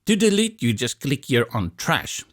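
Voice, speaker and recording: A man from South Africa, Afrikaans his first language, with a South African accent, calmly talking through a software tutorial step by step with a bit of light humor. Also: medium pitch, moderate pace. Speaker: tutorial instructor. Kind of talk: screen-recorded software tutorial.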